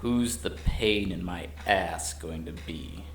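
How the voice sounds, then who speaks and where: Guy doing a lowish snarky investigator for audio drama, deadpan n mocking, roomy echo, faint noise. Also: slow pace. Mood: scornful. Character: lean, predatory male special investigator, insolent lone operative. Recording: echoey room, faint noise.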